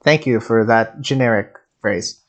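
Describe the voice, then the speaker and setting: A man gives casual live commentary on a game. His voice sits at a middling pitch. He is excitable and jokey, and he rambles as he reacts. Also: measured pace.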